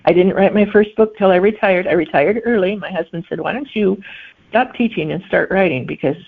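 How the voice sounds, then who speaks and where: Gravelly middle-aged woman on a phone-like line. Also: on the low side. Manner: thoughtful, pausing to find words. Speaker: guest author. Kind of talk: conversational interview answers.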